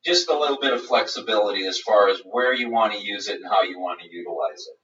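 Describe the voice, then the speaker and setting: A man's voice: lowish voice, fast, fairly bright tone. Guy doing a webinar product demo, explains step by step, sounds far from the mic and kinda muffled. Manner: methodical, explanatory. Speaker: product presenter. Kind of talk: live webinar product demonstration. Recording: roomy, distant, dull webinar audio.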